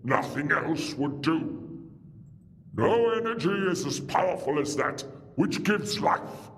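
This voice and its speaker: A man's voice: a little high, expressive, takes it slowly. A man playing a grave, ruthless alien warlord in an audio drama, solemn and declamatory, embittered by war. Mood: grim, resolute. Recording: long reverberant hall, faint music.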